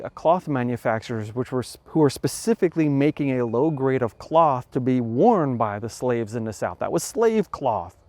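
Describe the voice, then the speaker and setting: A man hosting a podcast, talking through a moral question in a thoughtful, measured way, restarting phrases as he reasons aloud. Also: mid-range voice, darkish tone, no echo. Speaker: podcast host. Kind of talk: explanatory talk on ethics.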